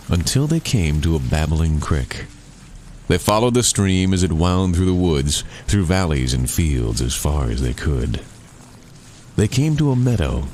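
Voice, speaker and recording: Male storyteller, bottomless bass register, unhurried dramatic delivery of spooky rhyming verse, drawing out phrases for suspense. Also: darkish tone. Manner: suspenseful, theatrical, drawn-out delivery. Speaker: author reading own poem.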